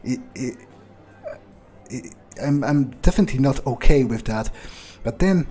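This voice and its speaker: A man improvising in character as a newly turned vampire in a game, bewildered, irritable and foul-mouthed, muttering in halting, incredulous repetitions with a husky voice. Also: mid-range voice, lively intonation, mellow, soft background music, natural voice. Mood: uneasy, bewildered.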